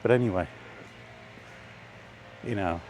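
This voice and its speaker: Low, mellow-voiced man chatting outdoors. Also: takes it slowly, rough recording. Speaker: vlogger. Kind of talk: casual rambling chat while walking. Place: outdoors on a walk, under trees. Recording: faint outdoor ambient noise.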